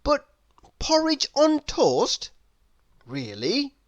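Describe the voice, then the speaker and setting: A woman's voice: high voice, wildly swooping pitch, very slow, highs cut off. A woman reading a children's story aloud expressively, putting questions to the listener. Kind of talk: children's read-aloud narration.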